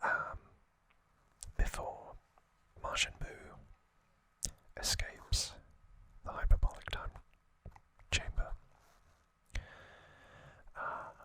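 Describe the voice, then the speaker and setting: Slow whispering man, ASMR style. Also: sharp tone. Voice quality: breathy, unvoiced whisper. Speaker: ASMR creator, host. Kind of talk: ASMR whispered storytelling and trivia.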